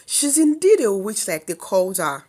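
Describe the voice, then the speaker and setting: A woman storyteller puts on a jealous village gossip woman from a folktale. She has a Nigerian accent and a mocking, scornful delivery. Her pitch swoops about in a lively way. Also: normal speed.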